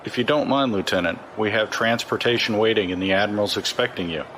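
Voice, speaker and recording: An actor playing a businesslike, smoothly official fleet security man in a sci-fi audio drama. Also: lowish voice, fast, a bit bright, slight background noise, lightly acted, poor audio.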